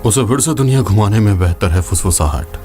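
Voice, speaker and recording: Man as a deep, grave demon-hunting scholar. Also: expressive, mellow, close to an everyday voice. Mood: grave, pondering. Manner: solemn, measured. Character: grave, wary male veteran demon hunter and scholar. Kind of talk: dramatic fantasy game dub. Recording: music behind the voice.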